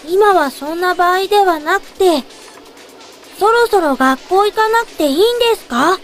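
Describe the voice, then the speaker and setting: Woman as very high-pitched, polite college boy. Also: moderate pace, roomy sound, big-room sound, faint music behind. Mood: gently nagging. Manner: polite, formal, soft-spoken earnest delivery. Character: earnest, polite, small young male college student. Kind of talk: audio drama monologue and dialogue.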